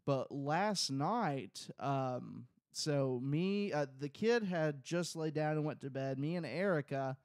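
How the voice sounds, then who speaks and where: Casual podcast cohost, slightly high voice, crude jokes, dry close mic. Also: male voice, lively intonation, slow pace, hardly any pauses, darkish tone, no room sound. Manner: rambling, crude jokes, many fillers. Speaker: podcast co-host. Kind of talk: casual chat. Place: small home room. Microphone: close studio mic on boom, headphones.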